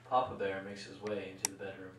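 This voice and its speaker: Guy narrating a puppet show story, kinda low voice, super echoey room. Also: monotone, quick pace. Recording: very echoey room, distant, faint noise.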